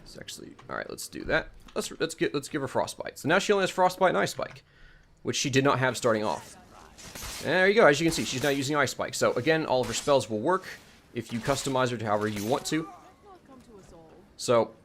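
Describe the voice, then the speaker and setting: A man with a mid-pitched voice presents a game mod in a casual commentary. He explains what he is doing step by step and often thinks aloud as he decides what to try next. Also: expressive, a little fast, a bit bright, slight background noise. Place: indoors at home, voice-over on gameplay.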